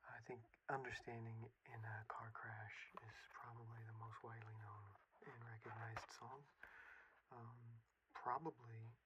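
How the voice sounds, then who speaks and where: A soft-spoken ASMR host speaking in a breathy, hushed, mostly whispered voice through a lo-fi telephone filter. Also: mid-range voice, level tone. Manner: hushed, unhurried, gentle delivery. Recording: lo-fi telephone effect, loud constant noise.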